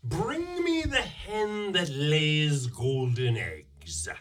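Slow, booming ogre, wildly swooping. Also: male voice, very animated, far from the mic, full character voice. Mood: commanding. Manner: slow, drawn-out commands. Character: menacing, bellowing male fairy-tale ogre giant, thirsty for blood. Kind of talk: radio play acting. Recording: off-mic with loud noise.

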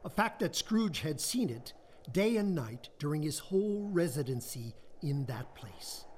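A man plays the storyteller of a radio play over faint background ambience. His voice sits a little high and he takes his time, measuring out each phrase of the Victorian ghost story with theatrical care. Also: sing-song, measured pace, darkish tone, a little hiss or hum. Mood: atmospheric. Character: storyteller of a Victorian ghost tale, vivid and deliberate. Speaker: narrator. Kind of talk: scripted radio play narration.

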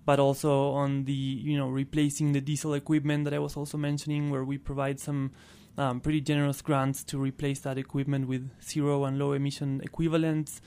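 A man's voice: medium pitch, completely flat delivery, brisk, few pauses, darkish tone, clear, resonant tone. A man from Argentina, a native Spanish speaker with an Argentine accent, talking as a guest in a casual radio interview. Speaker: interview guest, clean transportation programme worker.

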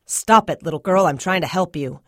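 A woman's voice: low voice, steady tone, a little fast, no room sound, lightly acted. An authoritative policeman in a story rescue, voiced by a woman narrator. He is firm and commanding, a no-nonsense rescuer. The recording is dry. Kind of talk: audiobook character dialogue.

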